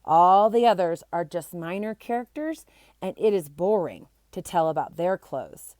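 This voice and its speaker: A woman's voice: lowish voice, expressive, unhurried, a little mellow, no echo, studio quality. A woman voicing a confident, matter-of-fact schoolgirl who tells stories in a storybook, assured and instructive.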